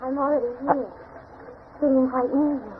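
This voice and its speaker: Actress as mysterious young sweetheart, tinny recording. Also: female voice, sits high, normal speed, lightly acted. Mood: wistful, shy. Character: mysterious, shy, tender young woman, secretive sweetheart. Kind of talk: 1940s radio drama acting. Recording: noisy, tinny 1940s radio recording.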